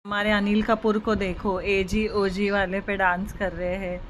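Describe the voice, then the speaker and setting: Slow-talking woman vlogger. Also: mid-range voice, level tone, slight background noise. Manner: chatty, mixes English phrases into Hindi commentary; slow, unhurried narration. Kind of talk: casual vlog narration. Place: outdoors at a villa and lakeside.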